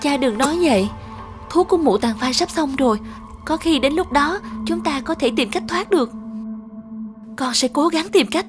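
A gentle but brave young cartoon princess. Her voice sits extremely high and sounds earnest and plaintive, with music playing clearly underneath. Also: animated, moderate pace. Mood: wistful, tender. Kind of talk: children's cartoon acting.

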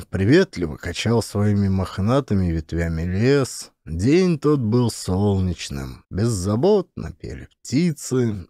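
Male audiobook narrator, warm and dark in tone, dry close recording, dramatic scripted read. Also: fairly low pitch, no room sound.

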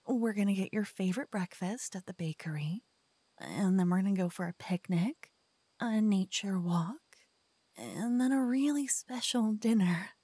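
A woman voicing a cocky, playful lover who whispers and teases softly in an ASMR roleplay. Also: mid-range voice, measured pace, husky, dry recording. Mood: playful teasing. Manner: playful, teasing, soft whispering. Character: cocky playful young woman, a devoted lover waking her partner. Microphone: binaural mic.

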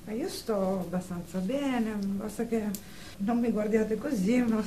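An actress playing a nervous thirty-year-old psychiatric patient in a radio drama, evasive and hesitant, often repeating herself. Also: female voice, mid-range voice, unhurried, mellow, natural voice. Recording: distant with faint background noise.

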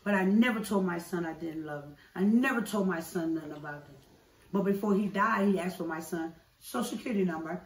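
A woman's voice: on the low side, fairly quick, echoey room, distant mic, poor audio. A woman telling personal stories in an emphatic, profane, repetitive way in a casual street interview. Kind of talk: casual emotional personal storytelling.

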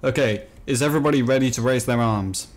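Man, mid-pitched, playing a courteous dwarf in a friends' role-play session, over a headset mic. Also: fast talker. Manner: mock-formal, polite, laughs readily. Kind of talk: in-character role-play and casual commentary.

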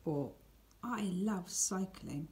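Female storybook reader for young children: unhurried, mellow, animated intonation, faint background noise. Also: on the low side. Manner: deliberate, expressive reading with dramatic emphasis and hushed words. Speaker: teacher reading a picture book aloud. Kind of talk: expressive scripted storybook narration for children.

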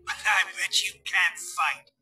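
An actor playing a gruff, threatening older homeless army veteran in a video game cutscene. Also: male voice, high-pitched, steady tone, a little slow, sharp tone, faint music behind, exaggerated voice.

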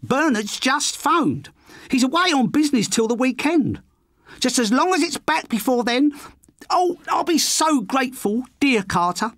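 A man's voice: very high voice, very animated, measured pace, fairly bright tone, no room sound, voice pushed up, full character voice. A man voicing a showy middle-aged woman with a gushing, affected manner.

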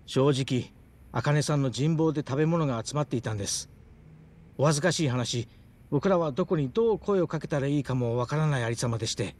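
A man's voice: medium pitch, brisk, darkish tone, natural voice. A man playing an easygoing, helpful young charity worker in a video game. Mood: apologetic. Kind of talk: scripted bilingual game dub.

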